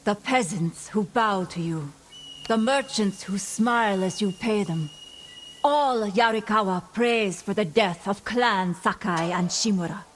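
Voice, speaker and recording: A woman playing a vengeful, ghostly assassin in a video game, speaking in an ominous, deliberate, poetic manner. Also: medium pitch, slight background noise, natural voice. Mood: cold, vengeful.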